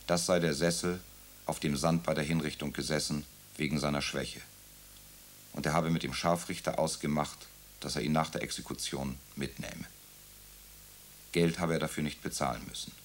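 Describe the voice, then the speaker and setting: A man narrating a radio drama in a calm, measured way. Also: very deep voice. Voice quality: gravelly.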